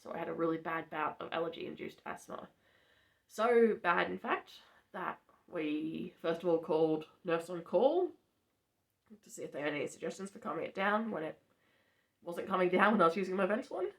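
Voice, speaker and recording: Aussie girl vlogging from a farmhouse bedroom, raspy and croaky from allergies, talks low and matter-of-fact with lots of ums. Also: expressive, moderate pace. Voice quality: gravelly. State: hoarse from an allergy reaction. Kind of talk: casual personal vlog.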